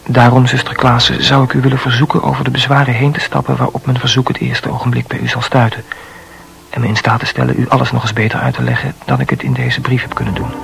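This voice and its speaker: An actor playing an earnest, awkward young male medical student in a radio play, formally polite, with a gravelly fry. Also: medium pitch, close to an everyday voice. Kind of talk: Dutch radio play acting.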